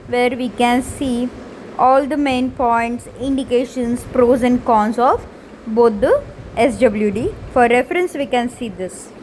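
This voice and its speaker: A woman's voice: high-pitched, a little mellow, a little hiss or hum, poor audio. A young woman explains slides as a student presenter.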